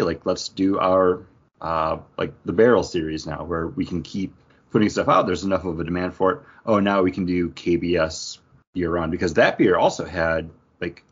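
A man with a husky voice, chatting casually as a podcast co-host. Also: low-pitched. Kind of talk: casual chat. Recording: dull lo-fi audio.

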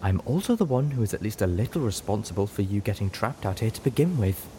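A man voicing a refined female unicorn with a prim, measured and cutting way of talking, in an audiobook. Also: fairly low pitch, big pitch swings, mellow, a little hiss or hum. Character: refined female unicorn, sharp-tongued then kind.

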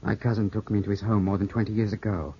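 On an old, muffled radio drama, a young English heir in grief. The voice is low and rough-edged. He is clipped, intense and full of suspicion. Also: fairly even intonation, fast. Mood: troubled, insistent. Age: 20s. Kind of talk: 1950s radio drama acting. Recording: muffled, scratchy 1950s radio broadcast.

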